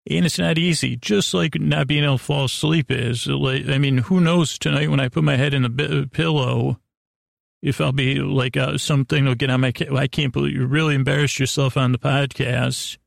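A man hosting a podcast with a silly improvised monologue to an imaginary guest, halting and stammering as he meanders and restarts in mock earnest. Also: medium pitch, steady tone, gravelly, no echo, studio quality. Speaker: podcast host.

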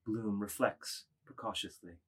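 A man's voice: fairly low pitch, steady tone, a little slow, fairly bright tone, breathy edge. Man narrating stage directions. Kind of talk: scripted literary narration.

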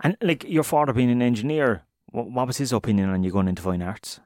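A man's voice: mid-range voice, lively intonation, fast, a little mellow, dry recording, studio quality. A man hosting a podcast, asking friendly, curious questions and backing the guest up with short replies. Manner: friendly, inquisitive, short backchannels. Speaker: podcast host. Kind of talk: podcast interview.

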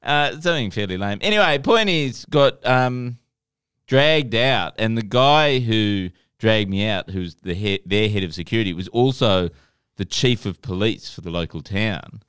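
A man's voice: fairly low pitch, expressive, measured pace, a bit bright, smooth, dry recording, clean studio sound. A man telling a story on a podcast in a wry way, often acting out other people's lines. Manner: wry, acts out quoted voices. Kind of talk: casual storytelling chat.

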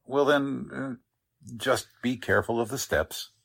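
An actor playing a genial, easygoing small-town doctor in 1940s radio drama style, musing hesitantly in a folksy way. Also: male voice, mid-range voice, unhurried, gravelly, a bit bright, husky, full character voice.

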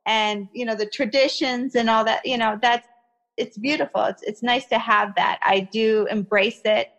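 A woman's voice: a little high, steady tone, normal speed, fairly bright tone. Woman explaining skincare, "you know" often. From Iraqi family, raised in America. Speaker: skincare expert guest. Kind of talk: conversational interview, explaining.

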